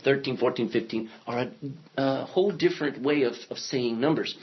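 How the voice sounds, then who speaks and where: A man's voice: mid-range voice, normal speed, dull audio. A man teaching a Bible class in a classroom, joking and thinking aloud as he lectures.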